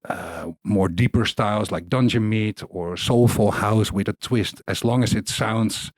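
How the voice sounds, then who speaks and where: A middle-aged man with a Dutch Amsterdam accent talks in a low, laid-back voice, looping through fillers and side remarks as he tells stories about his work. The sound is dry and close, with no room around it. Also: measured pace, no room sound. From Netherlands. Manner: relaxed, rambling, laughs often, many fillers. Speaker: DJ and producer guest. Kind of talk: casual interview chat.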